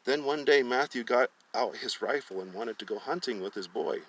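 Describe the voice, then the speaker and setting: A man's voice: medium pitch, normal speed, a bit bright, recorded from a distance, a little hiss or hum, rough recording. A man narrating fiction aloud as a storyteller, often stumbling and rereading phrases. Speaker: storyteller reading a book aloud.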